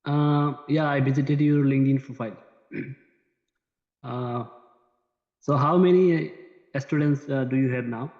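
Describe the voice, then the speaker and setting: A man asks questions in short, hesitant bursts, padding them with fillers at a middling pitch. The sound is dull and muffled, caught in an echoey room with a long trailing reverb. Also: fairly even intonation, a little slow, pauses often. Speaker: interviewer, client. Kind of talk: casual conversation.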